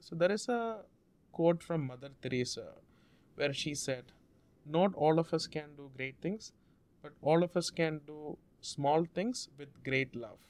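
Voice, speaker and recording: A man from India, a Malayalam speaker, telling a story as an interview guest in an earnest, measured way. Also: a little high, a little mellow. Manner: measured, earnest, drawn-out phrasing with restarts.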